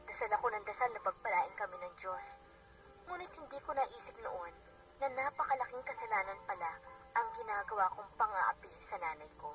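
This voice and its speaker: An actress playing a devout, lively working mother and bossy older sister in a radio drama, speaking in an expressive, conversational way. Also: mid-range voice, a little fast, natural voice. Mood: remorseful. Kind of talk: Filipino radio drama acting. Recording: dull radio playback, distant, music behind.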